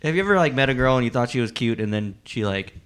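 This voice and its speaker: A man's voice: mid-range voice, lively intonation, fast talker, clear, resonant tone. A man hosting a podcast in casual, joking, profane comedic chat. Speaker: podcast host.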